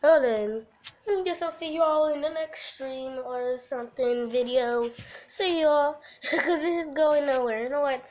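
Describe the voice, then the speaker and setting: A woman's voice: sits high, unhurried, a little mellow. A giggly woman hosting a casual game livestream, chatting and reading aloud from a visual novel. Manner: giggly, breaks off into laughter. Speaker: livestream host.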